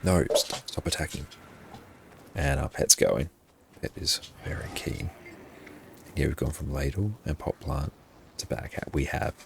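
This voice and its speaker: A man livestreaming his gameplay in a hushed, half-whispered voice, often thanking his viewers. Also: very deep voice, unhurried, vocal fry, long pauses, background noise.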